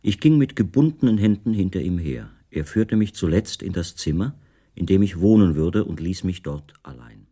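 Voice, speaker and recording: Radio play captive narrator: deep register, composed, exact, detached first-person storytelling. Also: male voice, lively intonation, moderate pace, warm tone, breathy edge. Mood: measured, detached. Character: captive male writer calmly recounting his confinement.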